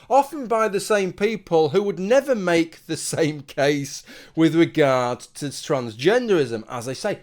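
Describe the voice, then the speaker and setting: Male commentator, lightly raised pitch, talks through an argument with asides to the viewer. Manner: argumentative, conversational, rhetorical asides. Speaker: commentary video host. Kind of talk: solo opinion monologue.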